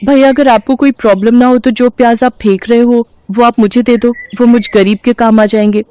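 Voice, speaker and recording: A woman's voice: a little high, fairly even intonation, brisk, warm tone, natural voice. A woman voicing a poor, pregnant young widow in a cartoon story, speaking in an earnest, pleading way.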